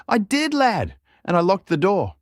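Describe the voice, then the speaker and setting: A man voicing a gruff, hot-headed dwarf fighter in a fantasy story, blustery and quick to curse. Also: high voice, huge pitch swings, no room sound, studio quality, pitched above the performer's own voice, heavily acted. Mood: flustered, defensive. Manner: blustery, roaring, old-fashioned British slang.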